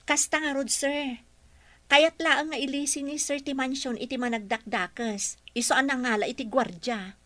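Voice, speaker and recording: A woman's voice: high voice, fairly even intonation, moderate pace, sharp tone, no room sound. A woman playing a dutiful, respectful caregiver in a radio drama. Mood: respectful, defensive. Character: dutiful, respectful female caregiver to her boss.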